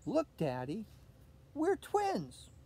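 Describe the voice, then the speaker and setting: A cheeky, confident little storybook girl, acted out by a man reading aloud, with very lively swings in pitch and some outdoor background noise. She is headstrong and sure of herself. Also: very slow, exaggerated voice. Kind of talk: picture-book read-aloud.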